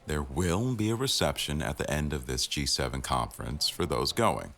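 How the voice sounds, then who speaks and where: Very low male voice, acted: demanding newsroom boss for audio roleplay, dry wit, firm authority. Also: lively intonation, normal speed, gravelly, a bit bright, heavily acted. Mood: brisk, businesslike. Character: exacting, sardonic male executive news producer, the boss. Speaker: voice actor in a boyfriend audio roleplay. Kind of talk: scripted ASMR roleplay, addressing staff at a meeting.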